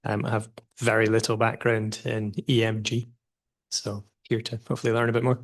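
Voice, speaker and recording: A man's voice: fairly low pitch, level tone, a little fast. A man with a Scottish accent, introducing himself briefly and modestly from across the room. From Scotland.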